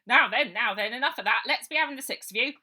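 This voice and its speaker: A woman's voice: a little high, fast talker, pushed and bright, voice pushed up. A woman voicing a pompous, officious fat old male police sergeant in a storybook. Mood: blustering. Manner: officious, bossy.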